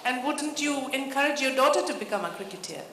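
A woman's voice: high voice, animated, fairly quick, very noisy. A woman from Bangladesh in the audience, asking an earnest, pressing question. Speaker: audience member. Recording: echoey hall, crowd.

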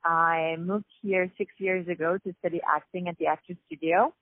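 A woman from Paris with a French accent, a festival founder explaining things in a measured way over the phone. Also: lowish voice, fairly even intonation, no echo. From Paris, France. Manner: measured, explanatory, many fillers. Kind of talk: phone interview. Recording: tinny phone line, dry.

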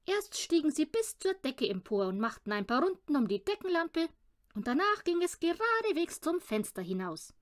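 A woman reading a children's audiobook with expressive storytelling narration. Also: high-pitched, normal speed. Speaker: audiobook reader.

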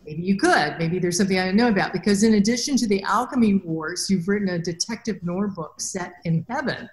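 Animated middle-aged woman, echoey room. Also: a little fast. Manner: animated, acts out quotes with big pitch jumps. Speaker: podcast host and robotics researcher. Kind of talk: casual interview chat. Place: small room with a plain wall. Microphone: headset mic on a video call.

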